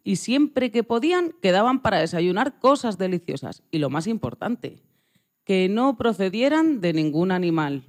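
A woman's voice: medium pitch, big pitch swings, moderate pace, a little mellow. A woman reading scripted narration for a children's story.

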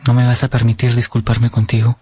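A man's voice: medium pitch, completely flat delivery, brisk, warm tone, husky, no echo, natural voice. An actor playing an earnest, lovesick young man who narrates his romance in a radio drama. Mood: tender, pleading. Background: prominent music.

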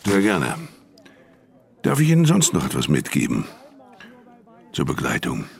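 An actor in a German radio drama playing a suave, sly underworld man, gravelly and husky, with ironic politeness. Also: very deep voice, huge pitch swings, measured pace, heavily acted. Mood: sly, mocking.

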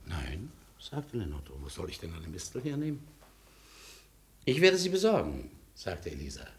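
An actor in a 1950s German radio drama playing a cultured, talkative émigré lawyer, polite and theatrical, with weighty pauses. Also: male voice, low-pitched, huge pitch swings. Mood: wistful.

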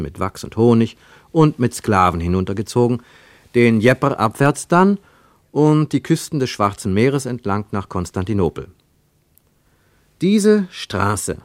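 A male narrator reads literary prose for a radio play. His lowish voice moves with lively intonation and dramatic, deliberate phrasing, recorded very dry in a studio. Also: dry recording.

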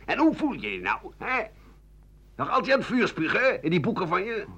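An actor voicing insistent, pestering reporters who needle a famous writer in a radio play. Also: male voice, huge pitch swings, a little fast, bright, forceful tone, slightly rough, full character voice. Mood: needling. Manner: insistent, mocking, raised pitch. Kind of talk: 1980 Dutch radio play. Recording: muffled old radio broadcast recording.

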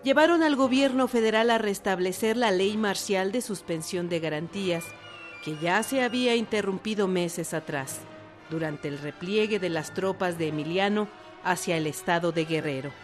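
A woman's voice: medium pitch, normal speed. Woman narrating over faint music. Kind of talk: scripted documentary-drama narration.

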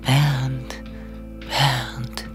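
A woman's voice: rumbling low, level tone, extremely slow, heavily acted. A woman playing a lonely, brooding abandoned wife who murmurs to herself in an intimate radio drama monologue. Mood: brooding, bitter. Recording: music behind the voice.

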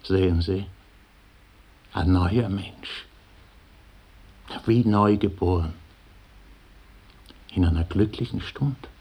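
A man's voice: low voice, slow pace, pauses often, mellow, breathy edge, full character voice. A man playing a self-assured, persuasive businessman in a German radio play, encouraging and full of rhetorical questions.

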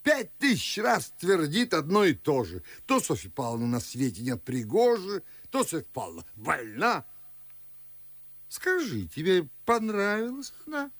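An actor playing a fussy, grumbling older Moscow father and official in a verse radio play, with drawn-out declamatory delivery. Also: on the high side, huge pitch swings, a bit bright, no echo, exaggerated voice. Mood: irritated, fussy. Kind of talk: theatrical audio drama in verse.

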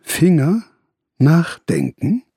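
A man's voice: on the high side, huge pitch swings, very slow, gravelly, mellow, slightly rough, no echo, studio quality, exaggerated voice. An actor playing an agitated, sardonic man who narrates his visions to the listener in a theatrical radio play monologue.

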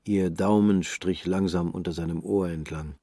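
Deep-voiced male radio drama narrator. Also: steady tone, normal speed, warm tone, a little hiss or hum.

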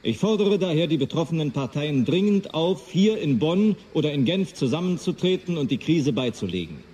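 A man's voice: on the high side, warm tone, natural voice. A man playing a sober West German chancellor making an earnest, firm government statement in a radio play.

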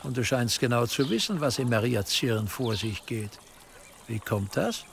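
An actor playing a cautious, authoritative prince-bishop, speaking in a measured, formal way in a German historical radio drama. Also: male voice, mid-range voice, lively intonation, vocal fry, slight background noise. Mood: suspicious, surprised.

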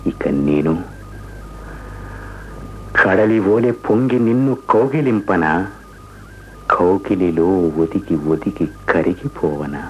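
An actor playing an imperious, poetic young prince in a radio play, speaking in a declamatory manner. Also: male voice, low-pitched, slow, long pauses, muffled recording, a little hiss or hum. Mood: rapt, romantic.

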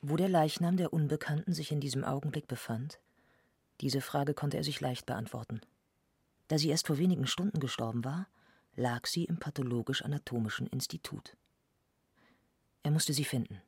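A man's voice: on the high side, normal speed, creaky, a little mellow, slightly rough, no room sound. A man narrating a literary radio play in a scripted storytelling style.

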